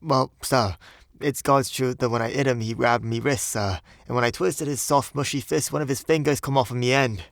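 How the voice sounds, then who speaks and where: A man's voice: mid-range voice, normal speed, gravelly, dry recording, clean studio sound, pitched above the performer's own voice, lightly acted. A man voicing a young Cockney bellboy in an audiobook, deferential but indignant and uneasy, speaking ungrammatically. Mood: embarrassed, frightened. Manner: ungrammatical, servile, peppered with "sir". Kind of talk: audiobook character voice.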